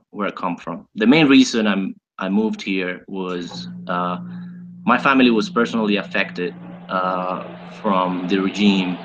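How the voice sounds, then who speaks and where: A young male guest from Venezuela in his twenties, with a bright voice that sits a little low. He explains things at length, repeating words and filling pauses with "uh". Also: lo-fi sound. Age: 20s. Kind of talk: casual interview.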